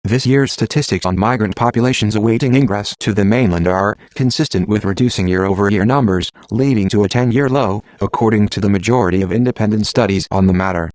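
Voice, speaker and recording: An unflappable artificial intelligence with a lowish male voice, performed by a man. It rattles out precise, formal advice at a quick clip, clinical and exact. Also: darkish tone, no room sound, clean studio sound, lightly acted. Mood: formal, advisory.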